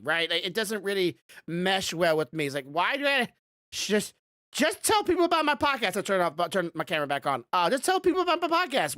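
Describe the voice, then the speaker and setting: A man hosting a podcast in casual, rambling chat, with a loud voice that rises when he gets passionate about something. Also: high-pitched, no room sound, clean studio sound. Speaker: podcast host.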